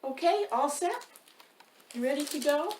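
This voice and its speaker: Casting: woman storytime reader, lively intonation, slightly high voice, speaking directly to kids. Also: measured pace. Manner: addresses young listeners with questions. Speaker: author and storytime host. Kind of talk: children's picture-book read-aloud. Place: indoor room by a wood stove. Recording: roomy, distant mic, faint noise.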